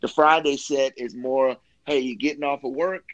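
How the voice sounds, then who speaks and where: A man's voice: mid-range voice, fairly even intonation, moderate pace, no room sound, highs cut off. A man talking casually and enthusiastically as a musician being interviewed, with informal phrasing that often ends in "man". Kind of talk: casual chat about career and new album.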